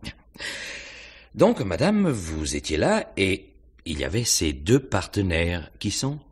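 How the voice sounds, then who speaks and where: A very low-voiced, rough-edged police inspector in a radio mystery play. He questions methodically and thinks aloud, hesitating and humming as he goes. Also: very animated, heavily acted. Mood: probing. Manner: hesitant, musing questioning with hums and pauses. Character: male police inspector, probing, pensive, methodical. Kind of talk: French radio mystery drama acting.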